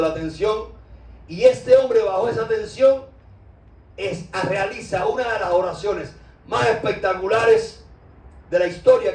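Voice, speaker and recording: A man's voice: sits high, darkish tone. A man with a Cuban accent giving an impassioned, informal sermon with loose conversational asides. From Cuba. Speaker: spiritual teacher. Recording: roomy echo, distant mic.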